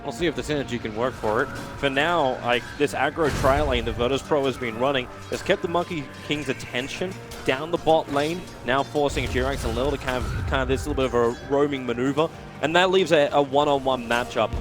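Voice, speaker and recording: A man with an Australian accent doing play-by-play commentary on an esports match, building to excited shouting in fights. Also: medium pitch, brisk, over music. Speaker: esports caster.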